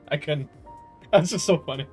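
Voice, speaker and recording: Rambling, slangy man with a higher-pitched voice. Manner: rambling, slangy, swearing, amused and incredulous. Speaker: livestreamer playing a video game. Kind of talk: casual opinionated chat commentary over gameplay.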